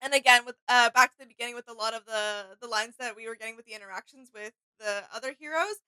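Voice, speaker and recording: A woman's voice: a little high, fast talker, bright, forceful tone, smooth, no room sound, poor audio. A woman co-hosting a reaction video, giving casual fan commentary and often searching for her words.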